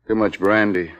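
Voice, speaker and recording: An actor playing an affectionate but dismissive husband in a 1950s radio drama, talking in short, casual, unconcerned replies. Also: male voice, on the low side. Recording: muffled old radio recording, faint noise.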